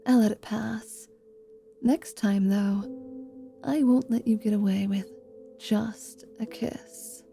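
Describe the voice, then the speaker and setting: A haughty, sharp-tongued half-vampire woman, played by a woman for a fantasy audio roleplay. Her voice is dark and smooth-edged with little creak, and she delivers sardonic threats at a very measured pace over faint music. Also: mid-range voice, fairly even intonation. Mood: teasing, coy. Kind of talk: fantasy ASMR roleplay acting.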